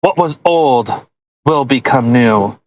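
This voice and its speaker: A podcast co-host reading a post aloud with casual, mocking commentary, sarcastic and quick to swear and interject. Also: mid-range voice, lively intonation, a little mellow, dull audio, a little hiss or hum.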